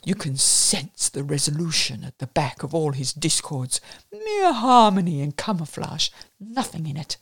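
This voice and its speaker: A woman's voice: low-pitched, sing-song, a little slow, husky, exaggerated voice. A woman narrator voicing a fat, bald, pompous man who is scornful and opinionated, in an audiobook. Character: dismissive, pompous fat bald avant-garde music snob, male.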